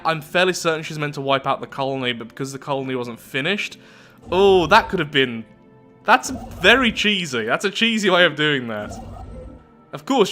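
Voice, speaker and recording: Bright, chatty male gaming commentator. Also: mid-range voice. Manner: animated, chatty, thinking aloud. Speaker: YouTube gaming host. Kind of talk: game playthrough commentary, reading event text aloud.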